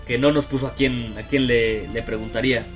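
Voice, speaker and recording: Relaxed host over music. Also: male voice, mid-range voice, a little fast. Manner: relaxed, filler-heavy, conversational. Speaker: podcast host reading listener questions. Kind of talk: casual interview chat.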